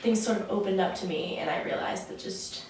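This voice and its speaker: A woman's voice: mid-range voice, brisk, a little mellow, slight background noise. A teenage woman from Massachusetts and New Hampshire answering interview questions casually, saying "like" a lot. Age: teens. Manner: casual, lots of "like". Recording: echoey room from far off, music behind.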